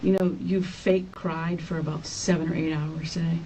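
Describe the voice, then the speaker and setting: A distant, noisy interview-room recording of a female detective questioning a suspect. Her voice is soft-edged and dark, while her words are stern, accusatory and repetitive. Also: sits low, level tone, moderate pace. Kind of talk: confrontational police interrogation. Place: small indoor police interrogation room.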